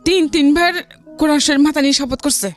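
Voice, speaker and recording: A middle-aged woman with a Bangladeshi accent telling her life story in a studio interview, haltingly and often repeating phrases. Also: sits high, steady tone. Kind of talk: personal storytelling in a radio interview. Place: radio studio. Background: background music.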